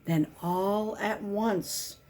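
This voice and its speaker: A woman reading a children's picture book aloud as a volunteer, in simple, deliberate storytelling with emphasized key words. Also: mid-range voice, fairly even intonation, a little mellow, a little hiss or hum.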